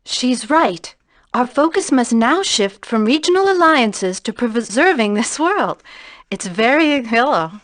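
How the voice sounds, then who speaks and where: A woman's voice: a little high, lively intonation, unhurried, no room sound. A woman playing an earnest young woman in a fantasy adventure game, recorded during studio takes. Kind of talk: scripted game dialogue, studio outtakes.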